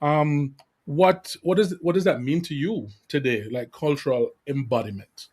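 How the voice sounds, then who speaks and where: A man with a somewhat high voice hosts a casual podcast interview. He feels his way toward each question, circling and rephrasing in a loose, conversational style. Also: unhurried, a little mellow, breathy edge.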